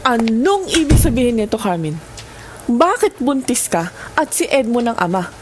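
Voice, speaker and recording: A Filipino woman playing a proud wife and stepmother in an audio drama, with expressive delivery. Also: on the high side, normal speed. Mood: cold, hurt. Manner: expressive, code-switching into English. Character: proud wronged wife who softens into doting stepmother. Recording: noisy ambient sound effects behind voice.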